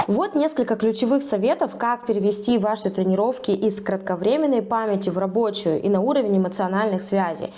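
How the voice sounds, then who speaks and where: A woman teacher talks the listener through a scripted lesson, measured and persuasive. Her voice is mellow and soft-edged, at an ordinary middle pitch. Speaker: English teacher. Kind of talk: scripted video lesson narration.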